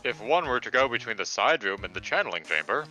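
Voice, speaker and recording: A man's voice: medium pitch, big pitch swings, fast, pushed and bright, soft background music, low-quality recording. A man voicing a flustered, pompous judge in an amateur courtroom game fan dub, theatrical and blustery. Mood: questioning.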